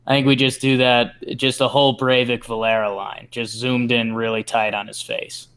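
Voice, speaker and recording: A man's voice: mid-range voice, flat delivery, moderate pace, a bit bright, clear, resonant tone. A man co-hosting a sports podcast, talking in casual conversation. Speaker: podcast co-host.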